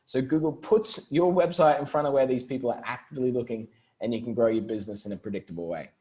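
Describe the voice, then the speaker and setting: A man's voice: medium pitch, fast, mellow. A man with an Australian accent, speaking as an interview guest and explaining things in an informal, conversational way. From Brisbane, Australia. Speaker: interview guest, marketing entrepreneur.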